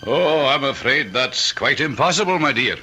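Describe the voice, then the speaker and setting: An actor playing a paternal, gently firm family doctor in an old radio horror drama, theatrical and a little patronizing. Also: male voice, medium pitch, expressive, normal speed, over loud music, natural voice. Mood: regretful, firm. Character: paternal, regretful, pragmatic male family doctor.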